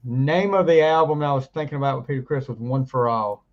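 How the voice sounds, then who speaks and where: A man co-hosting a casual podcast, rambling and laughing, his voice deeper than usual after the flu. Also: brisk, dull audio. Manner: rambling, laughs often, trails off mid-sentence. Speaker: podcast co-host. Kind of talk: casual chat.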